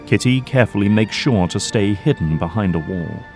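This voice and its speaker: Male narrator for audio drama: somewhat deep voice, scripted dramatic storytelling, soft music underneath. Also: expressive, moderate pace.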